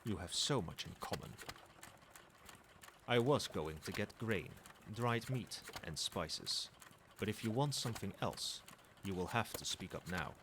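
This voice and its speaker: A man's voice: fairly low pitch, expressive, a little slow, noisy background. A man playing a proud old dragon in human form in an ASMR roleplay, speaking in formal, lofty and teasing phrases, with fondness. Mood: amused, lofty.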